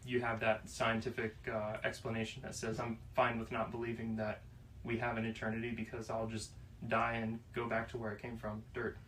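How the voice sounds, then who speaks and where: A man in his twenties from Florida, musing slowly on faith and choice in a casual discussion. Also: lowish voice, completely flat delivery, fairly bright tone. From Florida, USA. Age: 20s. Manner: slow, reflective, pauses mid-thought. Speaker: podcast guest. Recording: distant mic, faint room noise.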